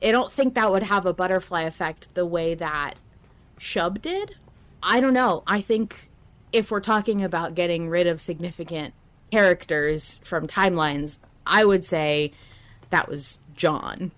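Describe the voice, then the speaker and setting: A woman hosting a casual fan discussion of an audio drama, talking in an animated way and repeating phrases for emphasis. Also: on the low side, measured pace. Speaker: podcast host.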